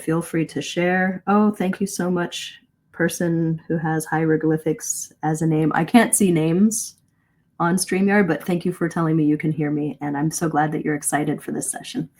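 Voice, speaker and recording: Female communication coach, middle-aged, slightly low voice; explains conversationally and encouragingly, leaning on key points. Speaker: English communication coach, host. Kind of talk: live online lesson, conversational teaching. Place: live video stream.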